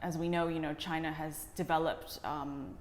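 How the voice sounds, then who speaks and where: Woman, low voice, hesitant interview guest reflecting on her work; reverberant room. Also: level tone, normal speed. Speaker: interview guest, documentary director. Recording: echoey reverberant room with faint noise.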